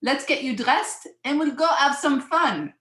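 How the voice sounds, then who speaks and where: A woman's voice: high-pitched, lively intonation, a little slow, fairly bright tone, reverberant, voice pushed up. A woman voicing an elegant, gracious French mother in a storybook, with a put-on French accent. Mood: gracious, welcoming.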